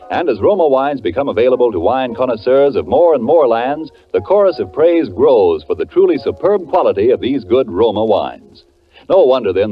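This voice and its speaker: A man announcing a commercial in 1940s radio style with a polished, declamatory sales delivery. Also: medium pitch. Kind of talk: 1940s radio advertising read. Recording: muffled 1940s radio broadcast.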